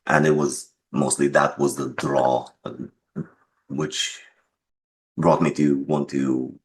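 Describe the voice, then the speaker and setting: Very deep-voiced man, measured. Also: flat delivery, slight background noise. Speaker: interviewed game developer and writer. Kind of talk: interview answers.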